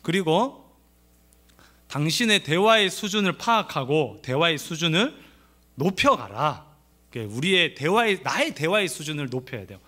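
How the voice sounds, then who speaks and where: A middle-aged man from the Gyeongsang region with a light Gyeongsang accent, preaching at a church family seminar in a lively, playful way, acting out quoted lines. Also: a little high, measured pace. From Gyeongsang region of Korea. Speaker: pastor.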